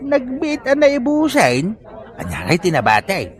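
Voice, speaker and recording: A man's voice: very high-pitched, wildly swooping pitch, unhurried, exaggerated voice. A man with an Ilocano accent playing a greedy, cheeky uncle in a comedy drama. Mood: wheedling.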